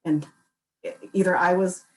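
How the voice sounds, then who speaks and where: A woman's voice: on the low side, steady tone, moderate pace. A woman panelist speaking in a reflective, conversational way. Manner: reflective, conversational, occasional laughs. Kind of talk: casual panel talk.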